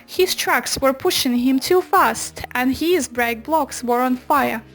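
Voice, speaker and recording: A woman reads a children's storybook aloud. Her voice is high and she takes her time over the scripted narration, with light music underneath. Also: slow, a bit bright. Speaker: children's book reader.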